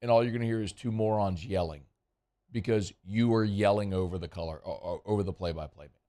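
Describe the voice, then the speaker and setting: A man's voice: on the low side, a little fast, dark tone. A man hosting casual sports talk radio, chatty and rambling, his voice worn and strained. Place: radio studio.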